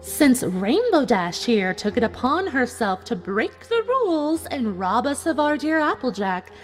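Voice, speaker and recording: Smug, swooping high-voiced villainess unicorn acted by a woman. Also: unhurried. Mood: smug, menacing. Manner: taunting, calmly menacing. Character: cruel, smug female cartoon unicorn villain running a deadly test. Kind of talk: dramatic fan fiction audio reading. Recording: music behind the voice.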